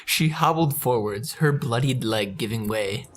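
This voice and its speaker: A man's voice: medium pitch, unhurried. A man reading visual novel narration aloud casually, with joking asides to friends. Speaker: player on a gaming show.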